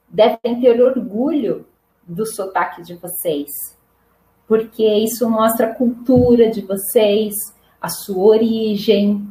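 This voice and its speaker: A woman's voice: medium pitch, a little mellow, reverberant, poor audio. A middle-aged Brazilian woman teaching Portuguese in a live online lesson, patient and repetitive, often checking in with "né?" and "tá bom?". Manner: patient, repeats examples, frequent "né?" and "tá bom?".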